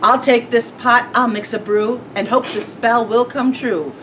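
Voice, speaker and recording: A woman's voice: steady tone, measured pace, fairly bright tone. A woman reading a children's story aloud as a member of school staff. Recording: noisy, rumbly, distant microphone.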